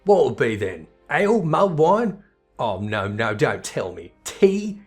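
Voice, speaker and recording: Gruff fantasy game barkeep, played by a man: wry, teasing, colloquial storyteller, music underneath. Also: medium pitch, big pitch swings, takes it slowly. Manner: colloquial, teasing, rough-spoken. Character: gruff, wry male tavern keeper telling old tales. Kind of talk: character voice reading video game dialogue.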